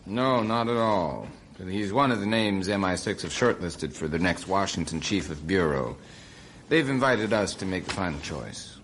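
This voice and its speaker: An actor playing a measured CIA official, understated and questioning, in a radio drama. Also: male voice, deep voice, expressive, a bit bright, a little hiss or hum. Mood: matter-of-fact.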